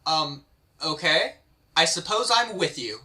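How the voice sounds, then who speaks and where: A woman's voice: low-pitched, very animated, measured pace, bright, forceful tone, full character voice. A woman playing a skeptical, puzzled questioner in an amateur fantasy table read, with an incredulous manner. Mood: puzzled, earnest.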